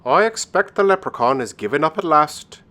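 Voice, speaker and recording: A man's voice: on the high side, lively intonation, moderate pace, sharp tone, pitched above the performer's own voice. A man voicing a humble, good-hearted Irish village thatcher in a storybook, with an Irish accent and an earnest, hearty manner. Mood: hearty, generous. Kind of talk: storybook character voice.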